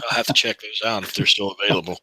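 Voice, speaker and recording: A man's voice: lowish voice, steady tone, very fast, pushed and bright, no room sound, rough recording. A man in his late forties chatting casually on an online group call in a blunt, profane way. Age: late 40s.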